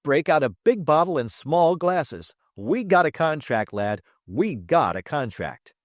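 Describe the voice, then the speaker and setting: Man voicing a jaunty, boastful space trader, pitched high. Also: sing-song, measured pace, dry recording, clean studio sound. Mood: exuberant. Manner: grandiose, lecturing, teasing. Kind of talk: audiobook character dialogue.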